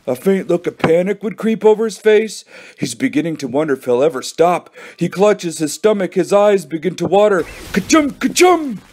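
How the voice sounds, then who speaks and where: A man voicing an excitable, scheming boy in a children's audiobook, melodramatic and full of exclamations. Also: a little high, normal speed, pitched above the performer's own voice. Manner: melodramatic, exclaiming, mimics sneezes. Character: excitable scheming boy acting out sneezing fits.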